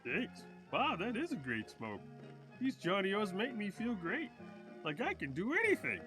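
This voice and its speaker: A man acts an eager gee-whiz buddy from an old radio ad. His high voice leaps up and down dramatically, with exaggerated enthusiasm over music. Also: huge pitch swings, a little slow, sharp tone, exaggerated voice. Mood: eager, delighted. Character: gee-whiz eager pal in vintage cigarette ad. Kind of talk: 1940s radio ad acting.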